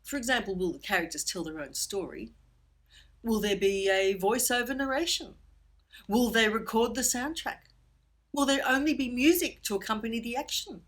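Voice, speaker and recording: A woman presenting an instructional workshop for children in a theatrical, expressive storyteller's way. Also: on the high side, moderate pace.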